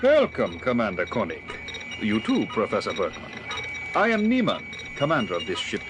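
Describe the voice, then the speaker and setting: An actor playing a courteous, persuasive alien starship commander, measured and grand, in an audio drama. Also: male voice, on the low side, wildly swooping pitch, background noise. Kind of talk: 1970s audio drama acting. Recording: loud music behind the voice.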